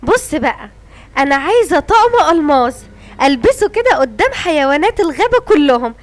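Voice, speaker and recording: A woman voicing a bossy, excitable mother lioness in a cartoon, with an Egyptian Arabic accent. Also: high voice, a little fast. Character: fussy mother lioness, the king's wife.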